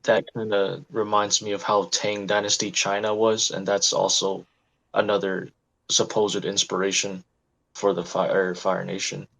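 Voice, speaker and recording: A man from the United States chatting casually in a group discussion, quick and joking, laughing often. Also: deep voice, completely flat delivery, vocal fry, fairly bright tone, low-quality recording. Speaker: panel guest.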